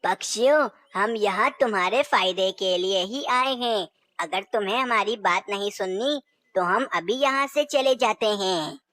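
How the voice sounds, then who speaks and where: A woman voicing a bossy elder male owl in a cartoon story, brisk and commanding. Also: mid-range voice, fairly bright tone, dry recording, natural voice.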